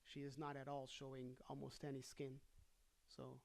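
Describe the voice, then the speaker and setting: A storyteller with a middling pitch reads a fairy tale aloud. The delivery is casual, with chatty, wry comments slipped in between the lines of the story. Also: monotone, normal speed, gravelly, a little mellow. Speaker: storybook reader and host.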